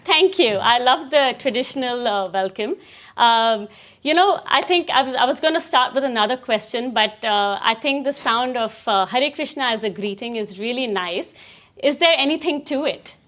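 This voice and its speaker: A woman's voice: a little high, lively intonation, normal speed, a bit bright. A woman hosting a talk show, presenting and putting questions to guests in a studio interview style.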